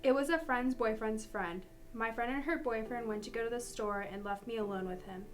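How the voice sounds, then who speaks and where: A woman's voice: on the high side, fairly quick, poor audio. A young adult woman, a student, reading out a personal account. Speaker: student reader.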